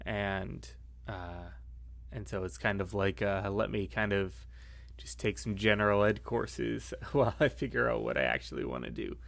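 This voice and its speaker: An American man leading a casual church class discussion, relaxed and thinking aloud with fillers. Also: lowish voice, moderate pace, gravelly.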